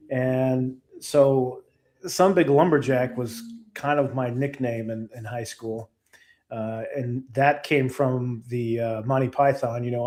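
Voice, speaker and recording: A man's voice: mid-range voice, darkish tone. A man who creates comic books, a podcast guest telling rambling, laid-back, self-mocking stories. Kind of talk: casual storytelling.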